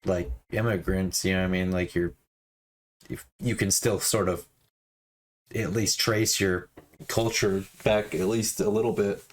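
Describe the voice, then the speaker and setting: A man's voice: deep voice, steady tone, unhurried, slight background noise. A man co-hosting a casual discussion podcast, talking loosely with many restarts and some laughter. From United States. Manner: chatty, laughing, lots of restarts. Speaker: co-host.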